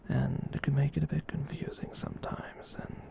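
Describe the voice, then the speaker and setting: A performer voicing a gentle, kind giant catboy in a soft breathy whisper, rambling reassuringly in an ASMR roleplay. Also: medium pitch, level tone. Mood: soothing, confiding. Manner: rambling, reassuring, slow with long pauses.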